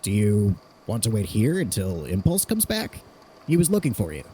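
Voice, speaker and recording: A man's voice: lowish voice, huge pitch swings, moderate pace, mellow, a little hiss or hum. A man playing a curious, analytical theorist in an audio drama, conversational and inquisitive. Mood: concerned.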